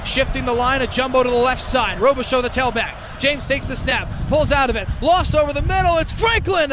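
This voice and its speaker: A young man calling live American football on radio as a student broadcaster, in clipped phrases that build into excited calls. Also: extremely high pitch. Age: young adult. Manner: clipped, rapid phrases rising into excited shouts. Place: football stadium broadcast position. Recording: clear outdoor stadium noise behind.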